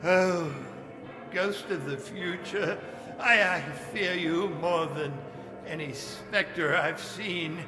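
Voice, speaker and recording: An actor playing a fearful, repentant old miser in a stage radio play, stammering and pleading in theatrical tones. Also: male voice, high voice, over music. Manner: halting, stammering, earnest and theatrical. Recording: echoing live hall stage recording.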